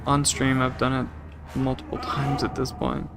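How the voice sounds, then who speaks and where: A man's voice: medium pitch, flat delivery, moderate pace, loud music behind the voice. A young man streaming a game, casually chatting and reading out chat messages in a rambling way. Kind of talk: casual chat with live chat while gaming.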